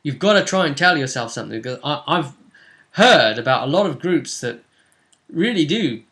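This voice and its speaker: A man's voice: fairly high pitch, sing-song, moderate pace, fairly bright tone. A man acting as game master, casually recapping a tabletop session in a storytelling way.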